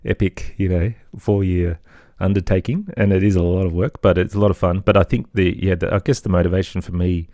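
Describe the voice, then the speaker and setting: A middle-aged man talking casually and reflectively as a podcast guest, thoughtful and tentative, often softening what he says. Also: deep voice, steady tone, a little fast, few pauses, dry recording, studio quality. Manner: hesitant, thoughtful, hedging with softeners. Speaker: podcast guest, children's audio storyteller. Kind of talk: casual reflective interview talk.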